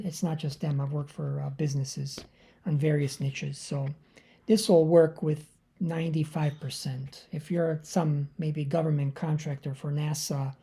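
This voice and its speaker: A hoarse man with a raspy voice hosting a live sales webinar in a loose, conversational way, with frequent filler sounds. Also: a little high, warm tone. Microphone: computer or dial-in mic on a live online call.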